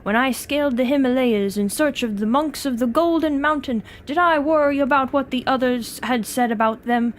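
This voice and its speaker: A woman voicing a pompous, blustering gentleman explorer who is really a sea slug, with an attempted British accent. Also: sits high, normal speed, few pauses, a little hiss or hum, close to an everyday voice. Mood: indignant, proud. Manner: pompous, declamatory, theatrical.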